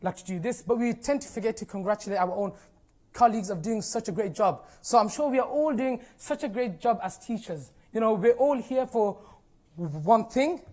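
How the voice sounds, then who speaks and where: A man's voice: high-pitched, lively intonation, normal speed, dark tone. A young man with a stammer, a teacher giving an informal, encouraging talk to an audience and using many fillers. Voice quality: stammer, with repeated sounds and blocked starts. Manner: many fillers such as "you know" and "like", earnest and encouraging.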